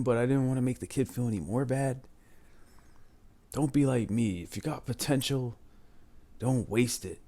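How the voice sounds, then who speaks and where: A man's voice: medium pitch, creaky, darkish tone. A man in his twenties talking casually and candidly, giving life advice and often adding "man". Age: 20s. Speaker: advice channel host.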